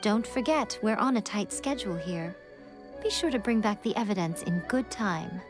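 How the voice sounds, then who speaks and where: An actress playing a calm, confident young female strategist who speaks briskly and gives orders. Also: mid-range voice, music in the background, close to an everyday voice. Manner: brisk and assured, giving orders. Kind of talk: game cutscene dialogue.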